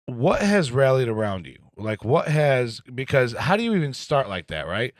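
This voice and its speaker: A man hosting a casual live podcast interview, blunt and quick to swear, often laughing. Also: medium pitch, sing-song, dry recording, studio quality. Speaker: podcast host.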